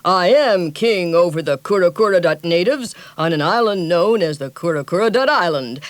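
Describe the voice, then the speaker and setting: Woman putting on a hearty sea captain, boastful, booming, stout, storybook father, dry close sound. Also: lively intonation, normal speed, dry recording, close to an everyday voice. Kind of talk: storybook reading.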